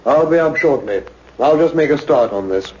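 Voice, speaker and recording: A man's voice: medium pitch, lively intonation, normal speed, close to an everyday voice. An actor playing a young, practical male technician in a sci-fi radio play. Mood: keen, businesslike. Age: young adult. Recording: dull radio recording with background noise.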